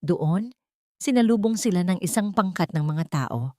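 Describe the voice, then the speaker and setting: A woman narrates an audio drama with solemn, measured delivery. Her pitch sits a little low, and the sound is dry, with no room echo. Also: animated, darkish tone. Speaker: storyteller, narrator.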